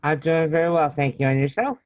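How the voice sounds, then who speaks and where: A man's voice: on the high side, fairly quick, dry recording. A man speaking as a guest author in a casual livestream chat, over tinny internet call audio from his phone. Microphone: phone on a live video call.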